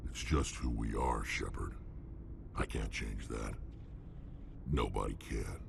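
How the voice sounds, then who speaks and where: Gravelly, extremely deep alien warrior. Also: male voice, slow pace, heavily acted. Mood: grim resignation. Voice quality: gravelly growl. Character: huge gruff alien warrior, grim and resigned. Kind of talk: video game dialogue acting. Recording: clear background ship hum noise.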